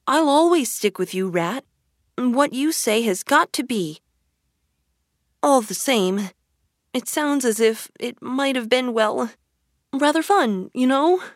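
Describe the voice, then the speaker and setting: A woman voicing a loyal, timid male mole who speaks gently and wistfully. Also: fairly low pitch, sing-song, slow pace, hardly any pauses, no room sound, studio quality.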